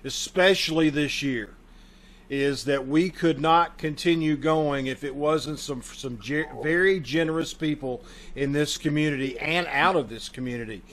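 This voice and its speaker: A man's voice: a little high, normal speed, a bit bright, a little hiss or hum. A man, host of a community theater troupe, talking casually to the audience over a video call. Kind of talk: casual welcome talk to an audience.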